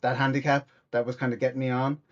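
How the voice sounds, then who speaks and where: A man's voice: medium pitch, level tone, fast talker, highs cut off. A man in his thirties with an Irish accent, a comedian chatting as a podcast guest, rambling with many restarts. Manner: rambling, many restarts, acts out quoted voices. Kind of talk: casual interview chat.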